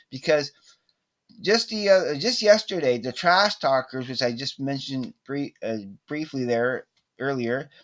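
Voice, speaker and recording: A middle-aged man chatting casually to camera as a vlogger, rambling and halting but enthusiastic. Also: a little high. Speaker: vlogger showing a cassette collection. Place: small indoor room with poster-covered walls.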